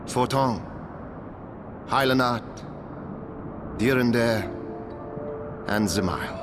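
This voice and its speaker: An actor playing a resolute noble knight in a fantasy game, stern and dignified, declaiming deliberately in archaic language. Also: male voice, mid-range voice. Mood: grimly resolute. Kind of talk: dramatic fantasy game dialogue, archaic speech. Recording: music behind the voice.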